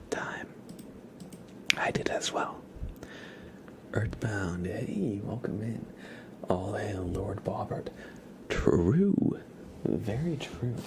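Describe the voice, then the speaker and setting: A man hosting a casual ASMR livestream, speaking in a soft, hushed, whispery murmur close to the microphone. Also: fairly low pitch, gravelly.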